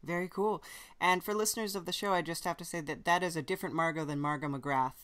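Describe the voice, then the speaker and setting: A woman's voice: fairly low pitch, steady tone, fast, fairly bright tone. A woman hosting a podcast, chatting casually as she interviews a guest.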